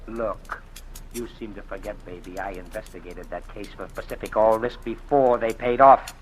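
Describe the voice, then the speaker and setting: An actor playing a smooth, sleazy former insurance investigator who blackmails a woman, talking in an oily, taunting drawl, in 1940s radio drama style. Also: fairly low pitch, moderate pace, rough recording. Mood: menacing.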